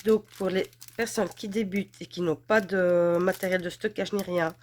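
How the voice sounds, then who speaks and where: A woman's voice: on the low side, steady tone, moderate pace. Chatty woman with a head cold. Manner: chatty, gushing, filler-heavy. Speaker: crafts channel host. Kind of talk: casual unboxing chat.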